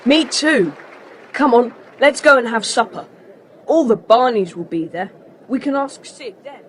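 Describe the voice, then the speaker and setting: Brisk, high-voiced schoolboy adventurer. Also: female voice, lively intonation. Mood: brisk, eager. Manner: brisk, take-charge. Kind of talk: children's audiobook drama acting. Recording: faintly hissy cassette tape.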